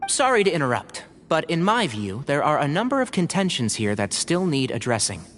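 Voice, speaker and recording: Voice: medium pitch, sing-song, fairly quick, a bit bright, a little hiss or hum. An actor playing a composed, analytical male scholar who speaks in a precise way, in a game cutscene.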